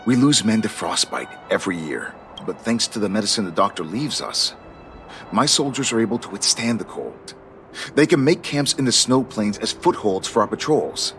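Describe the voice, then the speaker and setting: A man voicing a stern but fair military guard captain in a video game, earnest and deliberate. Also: on the low side, animated, a bit bright, faint music behind.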